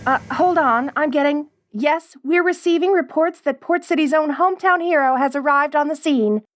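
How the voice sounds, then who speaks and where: A woman voicing a polished female TV news anchor with a crisp newsreader cadence. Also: sits high, moderate pace, no room sound, lightly acted. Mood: newscast.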